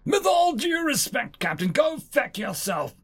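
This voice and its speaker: A man's voice: very high-pitched, big pitch swings, a little slow, a bit bright, husky, soft background music, pitched above the performer's own voice, heavily acted. A man voicing a belligerent, indignant ship's technician in an audio story, bellowing defiantly. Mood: furious. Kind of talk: acted story dialogue.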